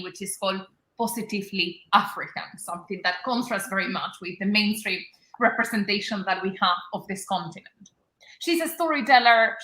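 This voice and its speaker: A woman's voice: mid-range voice, sharp tone. A woman from southern Spain with a Spanish accent, formally introducing a guest as the chair of an academic event. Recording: roomy, echoing sound.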